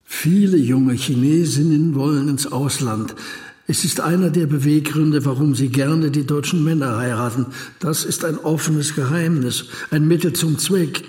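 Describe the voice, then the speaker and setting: An actor playing an elderly retired Chinese scholar in a radio drama monologue, speaking in a measured, reflective and contented way. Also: male voice, fairly high pitch, a little mellow, close to an everyday voice. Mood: matter-of-fact. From China. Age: 80s. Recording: roomy echo, music behind.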